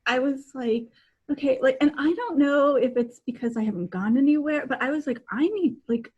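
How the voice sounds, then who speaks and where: A middle-aged woman with a raspy, somewhat high voice. She co-hosts a casual chat over a video call, talking excitably and haltingly and often repeating her words. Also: highs cut off. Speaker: co-host. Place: indoor room, on a video call.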